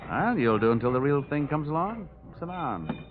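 An actor playing a world-weary private investigator with an American accent, dry and wry, in 1940s radio style. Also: male voice, fairly high pitch, big pitch swings, normal speed, over music. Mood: brisk, flip. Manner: dry, wry, hard-boiled. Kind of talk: 1940s radio detective narration and acting. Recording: muffled old radio drama recording.

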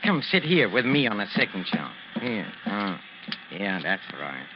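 An actor playing an obsessive older boxing trainer, effusive and pleading, in the theatrical style of old-time radio drama. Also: male voice, lowish voice, very animated, unhurried. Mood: quietly ominous. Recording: noisy, dull vintage radio recording.

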